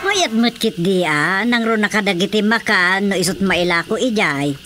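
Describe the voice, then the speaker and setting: A woman's voice: medium pitch, animated, moderate pace, very noisy, lightly acted. A woman with an Ilocano accent acting in a radio drama as an agreeable, eager villager who defers to authority.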